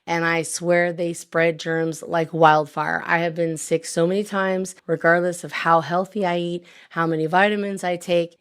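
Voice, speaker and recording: A woman in her thirties, a credit coach, explaining points one by one in an upbeat pitch while a bit hoarse from recovering her voice. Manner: upbeat sales-pitch delivery, lists points by number. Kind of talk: direct-to-camera explainer.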